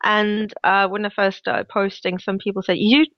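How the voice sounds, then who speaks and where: A woman's voice: mid-range voice, flat delivery, moderate pace, no room sound. Danish lady w a cold, chatty interview guest, muffled dry audio. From Denmark. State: has a cold. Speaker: guest, knitwear designer. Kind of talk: interview answers.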